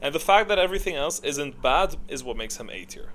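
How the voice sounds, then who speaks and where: A man's voice: fairly high pitch, animated, fairly quick, fairly bright tone, a little hiss or hum. A man giving casual, opinionated ranking commentary, thinking aloud in an informal way. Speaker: gamer video creator.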